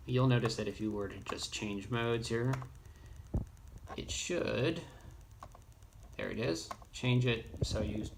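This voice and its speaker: Gravelly man giving a halting software tutorial. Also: lowish voice. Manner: halting, rambling, thinking aloud. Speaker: tutorial presenter. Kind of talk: informal off-the-cuff software tutorial. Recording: clearly noisy, with keyboard clicks.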